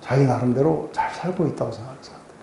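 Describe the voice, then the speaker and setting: A man's voice: medium pitch, very fast, vocal fry, noisy background. A man preaching in a conversational, explanatory way, posing rhetorical questions and often checking in with his listeners, like a pastor teaching a Bible study. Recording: roomy echo.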